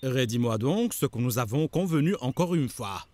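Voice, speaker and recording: An actor playing a resentful, bitter rabbi conspirator in a cartoon. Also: male voice, mid-range voice, expressive, normal speed, a little mellow, dry recording, close to an everyday voice. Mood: conspiratorial. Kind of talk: animated cartoon acting.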